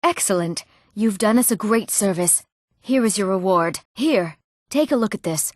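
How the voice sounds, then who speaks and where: A woman's voice: medium pitch, animated, moderate pace, no echo, natural voice. Young adult female, actress playing eager townswoman bard, fantasy video game, earnest delivery, dry studio sound. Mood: obliging. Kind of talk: scripted fantasy game dialogue.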